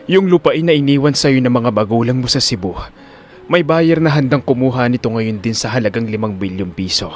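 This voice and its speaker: A man's voice: medium pitch, faint music behind, voice pushed down, natural voice. A man voicing a scheming middle-aged father who feigns weak, pleading grief in an audio drama. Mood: coaxing. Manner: weak, slow, pleading delivery.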